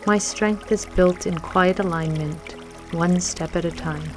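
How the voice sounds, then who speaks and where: A woman leading a scripted guided meditation with affirmations in a gentle, soothing manner, leaving long pauses between phrases. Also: lowish voice, steady tone. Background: music and trickling water.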